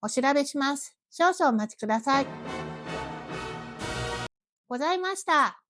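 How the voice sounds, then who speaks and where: Woman playing a brisk, high-voiced polite receptionist. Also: expressive, music in the background. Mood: polite, helpful. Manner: brisk, formal customer-service politeness. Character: polite female staffer answering business phone calls. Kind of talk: language lesson phone role play.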